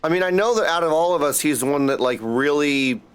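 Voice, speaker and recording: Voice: medium pitch, brisk, clean tone. A game streamer giving casual, irreverent, sarcastic reactions while playing. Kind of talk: casual reactive gameplay commentary. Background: game music.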